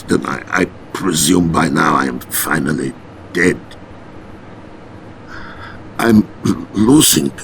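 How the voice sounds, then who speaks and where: An actor playing a dying, embittered Soviet premier on a taped message in a game cutscene, halting and anguished, with a Russian accent. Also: male voice, fairly low pitch, vocal fry, a bit bright, slight background noise, exaggerated voice, poor audio. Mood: confused, despairing. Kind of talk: melodramatic game cutscene acting.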